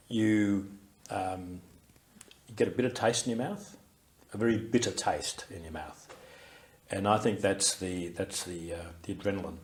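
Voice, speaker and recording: An older Australian man with a very low, deep voice talks through his memories in an interview. He speaks in a reflective, unhurried way, often pausing on fillers and restarting his sentences. His voice is loud and carries. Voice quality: loud, carrying voice. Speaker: interviewee, war veteran. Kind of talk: recorded oral history interview.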